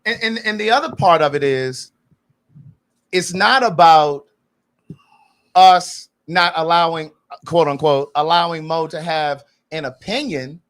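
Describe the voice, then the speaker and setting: A man in his forties co-hosting a podcast, chatty and quick to agree, firm and clear when he makes his point. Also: on the high side. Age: 40s. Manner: lively, backchannels a lot, firm when making a point. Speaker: podcast co-host. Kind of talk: casual chat.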